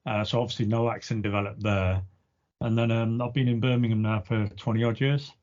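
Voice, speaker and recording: A man with a neutral English Midlands accent chatting easily on a podcast, thinking aloud with pauses. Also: lowish voice, steady tone, darkish tone. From East Midlands, England. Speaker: podcast co-host. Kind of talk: casual chat. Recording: dull lo-fi podcast audio.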